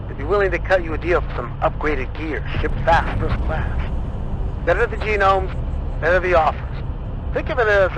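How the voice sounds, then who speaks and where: A man acting as an excitable, chatty ally in a post-apocalyptic video game, talking in an informal, emphatic way. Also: fairly high pitch, close to an everyday voice. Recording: clearly noisy background.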